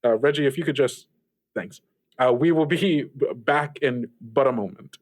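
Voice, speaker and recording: Male interview host acted by a man, medium pitch, affable and curious, easily flustered, halting improvised delivery. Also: close to an everyday voice. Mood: flustered, polite. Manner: stammering, awkwardly polite, curious.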